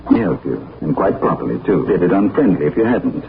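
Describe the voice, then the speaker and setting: An actor playing a refined gentleman detective in a 1940s radio drama, with clipped, polished diction. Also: low voice, very animated, brisk, gravelly, heavily acted. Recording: scratchy, tinny 1940s radio broadcast.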